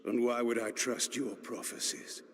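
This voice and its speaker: An actor playing a proud, brooding ancient vampire in a video game, speaking in formal, theatrical, measured diction. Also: male voice, medium pitch, little pitch movement, a little hiss or hum, lightly acted. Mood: wary, scornful. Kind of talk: dramatic scripted narration and dialogue for a video game.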